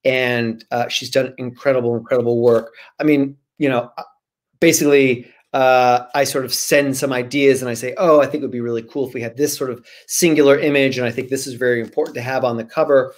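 A man in his forties with a medium-pitched voice talks casually as a guest author. He is animated and repeats phrases for emphasis, speaking into a computer mic on a video call from a room at home. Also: a little fast. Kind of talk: casual interview chat. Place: small room at home, indoors. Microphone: video call, computer microphone.

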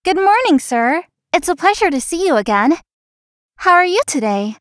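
A woman playing a feisty young florist in a visual novel, earnest and expressive. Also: very high-pitched, normal speed, no room sound, studio quality. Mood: courteous. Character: feisty young female florist, polite but privately resentful.

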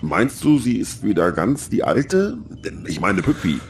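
A man's voice: lowish voice, wildly swooping pitch, unhurried, gravelly, a little hiss or hum, heavily acted. An actor playing a cocky, wisecracking male starship crewmate with a dry, teasing manner in a sci-fi audio drama. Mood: watchful. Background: music.

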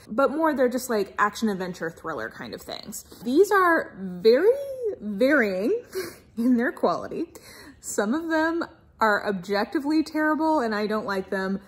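An American woman in her thirties giving a casual, chatty book review vlog with expressive, emphatic asides. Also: a little high. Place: furnished room at home, indoors.

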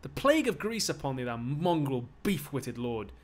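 A man's voice: mid-range voice, a little fast, lightly acted. A man playing a bitter, railing male slave in a stage play, sneering and ranting out insults.

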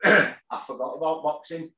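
A man, telling his story plainly in a video-call interview, with fillers and pauses. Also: fairly high pitch, sharp tone. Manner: plain-spoken, halting with fillers. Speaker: guest, boxer and gym owner. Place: kitchen at home, indoors. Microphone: tablet on a video call. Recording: echoey room.

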